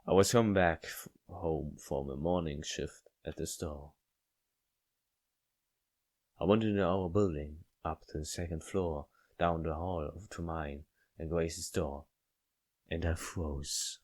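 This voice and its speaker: A man's voice: a bass voice, fairly even intonation, slow, darkish tone. A man reading visual novel narration aloud, stumbling over words now and then. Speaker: game let's player reading aloud. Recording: faint background music.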